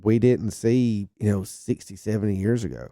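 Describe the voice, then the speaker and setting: Easygoing man, mid pitch, dry sound. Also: normal speed, gravelly, mellow, no echo. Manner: easygoing, hesitant with ums. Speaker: podcast co-host. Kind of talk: casual chat.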